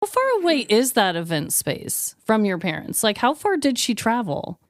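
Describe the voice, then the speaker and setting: A woman's voice: fairly high pitch, sing-song, moderate pace, no echo, studio quality. A woman in her thirties chatting casually as a podcast co-host, exclamatory and freely swearing. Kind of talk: casual chat.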